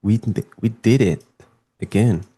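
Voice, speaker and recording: A young man from Spain with a Spanish accent, chatting casually and excitably as he comments on live trades for his stream viewers. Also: fairly low pitch, unhurried.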